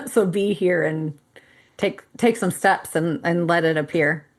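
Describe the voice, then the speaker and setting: A woman's voice: lowish voice, slow pace. A woman in her fifties, a podcast co-host, talking candidly and animatedly with frequent laughs and casual swearing. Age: 50s. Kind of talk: casual conversation.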